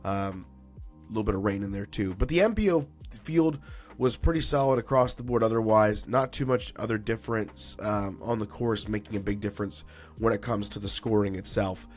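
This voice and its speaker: A man hosting a solo sports recap podcast, chatty and upbeat, his voice a little raspy and deeper from allergies. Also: normal speed, a little mellow, soft background music. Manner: chatty and upbeat, with frequent fillers and restarts. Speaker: podcast host.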